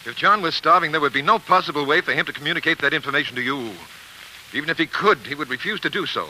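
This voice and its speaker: A man's voice: fairly high pitch, fast talker, bright, forceful tone, low-quality recording. An actor playing a stern, worried, protective father in a 1940s radio drama, with a firm, admonishing manner. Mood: stern, exasperated. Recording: faintly hissy 1940s radio recording.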